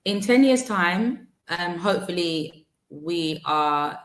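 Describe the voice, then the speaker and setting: Low-voiced woman in a roomy space. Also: takes it slowly. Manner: frank, steady, talks in long runs with restarts. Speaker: business owner, guest. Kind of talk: conversational interview answers. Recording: roomy echo.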